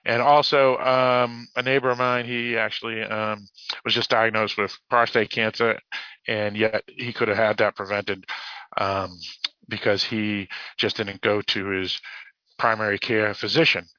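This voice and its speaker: A man with a Boston accent hosting a podcast in casual talk, halting and correcting himself as he reads out messages. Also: lowish voice, flat delivery, no room sound, dull audio. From United States, Boston area. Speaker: podcast host.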